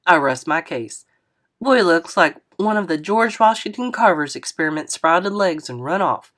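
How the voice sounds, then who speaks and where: A woman's voice: on the low side, animated, moderate pace, a bit bright. A woman voicing a jokey, easygoing older man who teases a young boy in a drawling, playful way. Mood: teasing.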